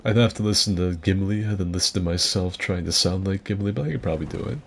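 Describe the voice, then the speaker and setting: A man streaming and chatting casually, rambling and self-deprecating, with a gruff voice. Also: low-pitched, fast, slight background noise. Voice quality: gruff, gravelly. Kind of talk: casual chat with viewers.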